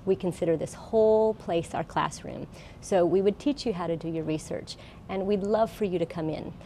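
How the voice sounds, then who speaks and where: A woman's voice: fairly low pitch, moderate pace, mellow, slight background noise. An American woman giving an informal, plain-spoken explanatory presentation as a college librarian. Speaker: college librarian, guest speaker.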